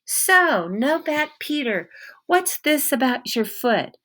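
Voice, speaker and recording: A woman reading the part of an eccentric, sharp-tongued middle-aged woman with a wooden leg, who probes and mocks as she speaks. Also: high voice, big pitch swings, slow pace, voice pushed up, exaggerated voice. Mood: mocking. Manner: mocking, probing, sharp-tongued.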